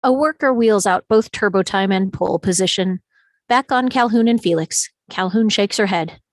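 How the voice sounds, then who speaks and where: A woman narrates a screenplay at a table read, reading out the action. Her pitch is average and the recording is close and dry, with little room sound. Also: moderate pace, no echo. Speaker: narrator reading stage directions.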